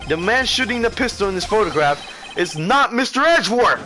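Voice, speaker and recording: Man playing an animated, nervous young lawyer. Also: high-pitched, moderate pace, faint music behind, pitched above the performer's own voice, heavily acted. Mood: confident. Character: nervous, earnest young male defense lawyer. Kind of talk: video game voice-over reading.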